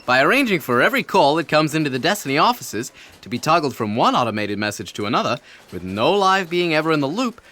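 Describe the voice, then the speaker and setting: An actor playing a smug, glib lawyer in comic audio theatre, reeling off disclaimers with a falsely casual air. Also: male voice, on the high side, very animated, fast, hardly any pauses, sharp tone. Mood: glib, breezy. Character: smug, glib lawyer defending a cosmic book.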